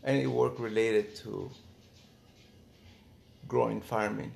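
A middle-aged man from Mexico reminiscing casually in an interview, thinking aloud in halting phrases with false starts. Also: lowish voice, fairly even intonation, gravelly, darkish tone. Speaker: interviewee, former migrant worker.

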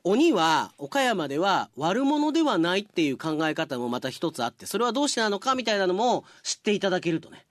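A man's voice: high voice, a bit bright, dry recording, studio quality. A man hosting a radio segment, chatting casually to listeners with teasing asides. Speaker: radio host and announcer. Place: radio studio.

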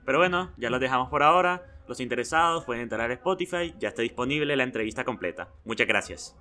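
A young man with an ordinary mid-range voice reads school news announcements for a student podcast. He keeps a plain, steady broadcast delivery. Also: medium pitch, quick pace. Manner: reads news announcements, then a loud energetic intro.

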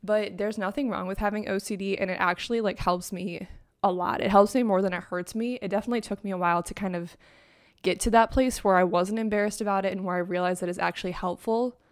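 A young woman chatting into her own microphone for her podcast, upbeat and rambling, with lots of "like" along the way. Her voice still has a sleepy, just-woken edge. She sits at a middle pitch for a woman. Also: fairly even intonation, fast, clear, resonant tone. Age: young adult. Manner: chatty, upbeat, heavy use of "like". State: sleepy morning voice. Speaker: podcast host. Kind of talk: casual solo podcast talk. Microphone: own microphone and laptop.

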